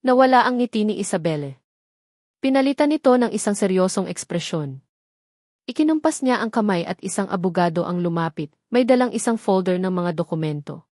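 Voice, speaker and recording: A woman's voice: medium pitch, darkish tone, no room sound, clean studio sound. A woman reading dramatic scripted narration of a love story as a storyteller.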